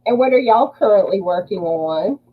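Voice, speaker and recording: Husky Southern woman hosting a livestream. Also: mid-range voice, expressive, normal speed, phone-quality sound. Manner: laughs often while reading chat names. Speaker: livestream host. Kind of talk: casual livestream chat.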